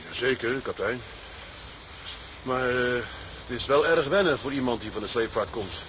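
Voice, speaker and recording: A man's voice: mid-range voice, moderate pace, background noise, close to an everyday voice. An actor playing a young boatswain in a radio drama, respectful to his superior, hesitant but candid. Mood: frank, wistful. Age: young adult. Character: young, frank, respectful boatswain from the towing trade.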